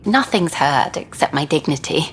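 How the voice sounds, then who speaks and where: A jailed filmmaker in a sci-fi game, principled and wry. Her voice is fairly low and subdued, with faint noise behind it. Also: lively intonation, normal speed, poor audio. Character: wry, principled jailed female documentary maker. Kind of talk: video game dialogue.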